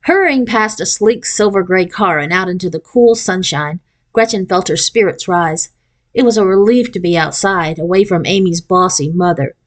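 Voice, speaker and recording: A woman narrating a children's novel as an expressive read-aloud. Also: fairly low pitch, normal speed. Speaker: storybook reader.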